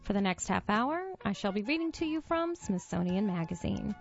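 A woman reading a magazine article aloud for a reading service, in a measured, even narration. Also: mid-range voice, vocal fry, faint music behind. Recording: dull, lo-fi dry studio voice.

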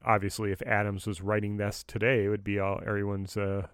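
A man co-hosting a casual discussion, speaking in a measured and agreeable way. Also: on the low side, monotone, clean tone, no room sound, studio quality. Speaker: podcast co-host. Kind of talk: casual discussion of a radio drama.

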